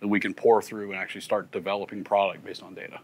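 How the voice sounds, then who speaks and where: A man's voice: sits low, little pitch movement, quick pace, darkish tone. A man in his thirties giving a casual, detailed technical explanation as a factory tour guide, in a matter-of-fact way. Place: indoors, sound-testing room and factory floor.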